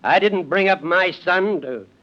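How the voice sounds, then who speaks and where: A man's voice: sits high, a little slow. An actor playing a stern, sardonic, wealthy old father who commands with biting sarcasm, in 1940s radio drama style. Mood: angry. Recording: muffled old-time radio recording.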